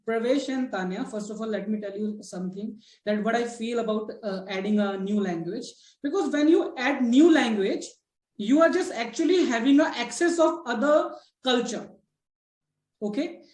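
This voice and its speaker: A woman's voice: fairly high pitch, normal speed, darkish tone, echoey room. A woman with an Indian English accent, a native Hindi speaker, hosting a casual discussion and talking in a chatty way, with many fillers and restarts and frequent "okay, right?" check-ins. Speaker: host of a spoken English discussion.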